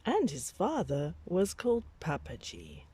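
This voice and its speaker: A woman reading a children's picture book aloud, with deliberate, dramatic phrasing for young listeners. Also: on the low side, wildly swooping pitch, dark tone, slightly rough.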